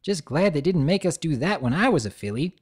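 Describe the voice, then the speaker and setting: A man's voice: on the high side, lively intonation, fairly quick, slightly rough, voice pushed up. A man voicing an honest, hardworking country pony mare with a Southern drawl and folksy, earnest manner.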